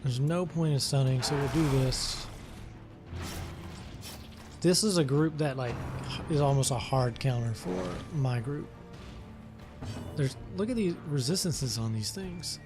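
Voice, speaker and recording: A man talks through his gameplay in a casual, thinking-aloud way as the host of a let's play. His voice is mid-pitched with a gravelly, creaky texture, and he often hesitates while he works out his next move. Game music plays clearly behind him. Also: unhurried, long pauses.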